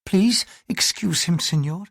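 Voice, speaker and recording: A man's voice: high-pitched, measured pace, gravelly, fairly bright tone, husky, no echo, clean studio sound, voice pushed up, full character voice. A man voicing a formal, polite Japanese noblewoman who speaks with strained courtesy. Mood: pleading.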